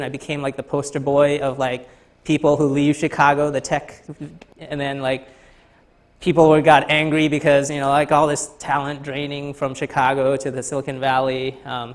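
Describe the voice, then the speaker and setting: A man from Thailand in his thirties giving a conference talk in a lecture hall, halting with many fillers and restarts. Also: a little high. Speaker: guest speaker.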